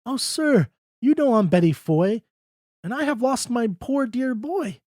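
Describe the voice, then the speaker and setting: A reader voicing a distraught older mother, pleading and wailing in high pitch. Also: animated, unhurried, darkish tone, dry recording, clean studio sound, natural voice.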